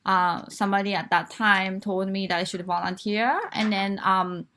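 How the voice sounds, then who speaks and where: A woman's voice: mid-range voice, steady tone, normal speed, sharp tone, a little hiss or hum. A woman, from Japan, frankly telling her life story as a guest in a live online interview, with fillers and restarts. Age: 40s.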